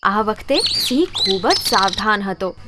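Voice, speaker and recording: A woman's voice: mid-range voice, big pitch swings, measured pace, heavy background noise. A woman narrating scripted children's stories as a storyteller. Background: background music.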